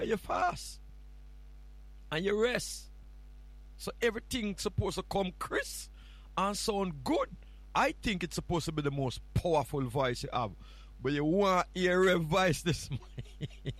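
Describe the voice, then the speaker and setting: A man's voice: on the high side, fairly bright tone, no echo. A man with a Jamaican accent chatting casually as a radio host, often repeating words and phrases for emphasis. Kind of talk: casual morning radio show chat. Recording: music playing behind the voice. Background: music.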